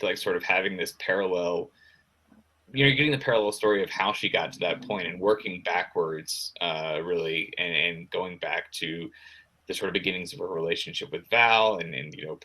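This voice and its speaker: A man speaking as a guest author in casual talk about writing, thinking aloud in halting, broken phrases. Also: sits low, bright, forceful tone. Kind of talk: casual discussion of writing craft.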